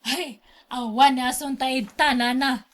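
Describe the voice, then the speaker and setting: Woman playing a high-voiced, gossipy Filipino daughter. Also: steady tone, a little slow, dry recording. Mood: complaining, tattling. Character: gossipy younger woman tattling to her mother. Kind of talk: radio drama acting.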